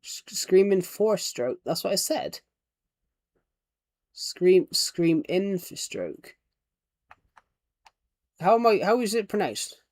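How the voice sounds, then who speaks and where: A man's voice: sits high, lively intonation, slow, long pauses, a little mellow, no echo, studio quality. A British man streaming a game, chatting casually and rambling while he reads viewer comments and names aloud. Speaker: livestreamer. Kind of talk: casual livestream chat while playing a game.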